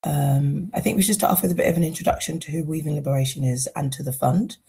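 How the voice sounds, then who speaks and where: A woman from Zambia opens an online session on a video call and lays out the agenda in a calm, organized way. Her voice is very husky and gravelly with a dark, mellow tone. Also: deep voice, fast talker. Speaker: fund lead and facilitator. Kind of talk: online info session introduction.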